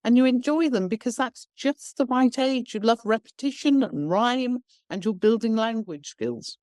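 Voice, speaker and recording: A woman's voice: a little high, moderate pace, darkish tone, no room sound, studio quality. A woman guest on a podcast interview, explaining her views bluntly and emphatically with everyday examples. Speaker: education founder, guest.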